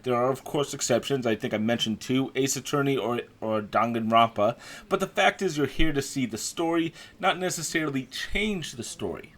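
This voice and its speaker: A man's voice: medium pitch, moderate pace, fairly bright tone. A man giving a conversational, opinionated voice-over review as a video game critic.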